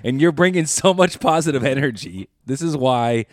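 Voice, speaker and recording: A man's voice: mid-range voice, lively intonation, moderate pace, no room sound, clean studio sound. A man co-hosting a podcast, chatting casually, teasing the guest and laughing often. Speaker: podcast co-host.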